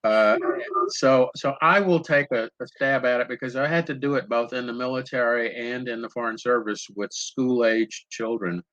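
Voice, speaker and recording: An older man moderating a panel, speaking in an unhurried anecdotal way and repeating words as he searches for them, over a video call. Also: mid-range voice, a bit bright, no room sound, highs cut off. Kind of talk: conversational panel answer.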